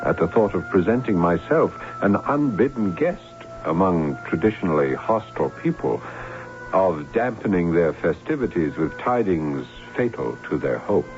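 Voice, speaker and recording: A man's voice: low voice, lively intonation, normal speed, darkish tone. An actor playing a sorrowful, guilt-torn young nobleman, narrating solemnly in formal, literary words in an old radio drama. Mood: sombre, confiding. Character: sorrowful young nobleman, guilt-torn impostor recounting his doomed love. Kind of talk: old-time radio drama acting, first-person narration. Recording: dull vintage radio recording, music behind.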